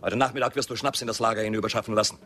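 A man's voice: on the low side, steady tone, racing, bright, forceful tone. A male actor playing a ruthless, cynical Western boss giving commands in a German radio drama. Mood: cold, commanding.

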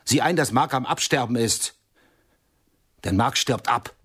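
A man's voice: medium pitch, animated, measured pace, fairly bright tone, breathy edge. A man acting a cultured, easily offended art lover in a radio play, speaking emphatically and pedantically. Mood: indignant.

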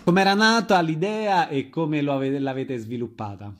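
A man's voice: on the high side, moderate pace. A man hosting a radio interview, putting brief questions in an informal, conversational way.

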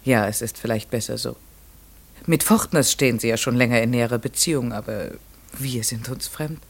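A man's voice: mid-range voice, moderate pace, vocal fry. An actor playing a curious, courteous, methodical man in a classic radio play, speaking in a careful, articulate and inquisitive way. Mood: polite, tentative. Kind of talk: classic German radio play, narration and dialogue.

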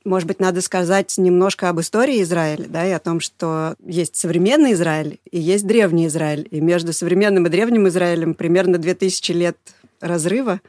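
A woman's voice: fairly low pitch, fairly quick, a little mellow, dry recording. Woman hosting a podcast, close and dry. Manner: friendly, guiding the talk. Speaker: podcast host.

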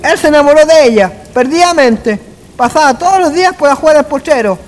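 An actor playing a folksy rustic man with a rural Chilean accent, telling anecdotes in a radio drama. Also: very high-pitched. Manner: folksy, colloquial. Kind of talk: radio drama acting, colloquial storytelling. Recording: long reverb, faint music behind.

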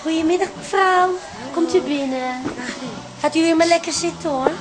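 A female hairdresser with an extremely high voice. She is chatty and fussy, chirping along while bluntly passing judgment, in the manner of a radio comedy drama. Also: moderate pace, over music. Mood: brisk, welcoming. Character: chirpy, bluntly critical female hairdresser fussing over customers. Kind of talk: modern radio drama acting.

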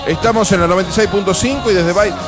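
A man's voice: sits high, quick pace. Argentine guy co-hosting live radio from a bar, rambly casual talk, music around. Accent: Argentine Rioplatense. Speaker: radio co-host.

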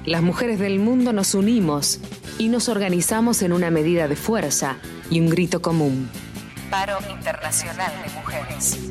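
Promo voice-over, woman, resolute and rallying, low-ish pitch, over guitar music. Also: moderate pace.

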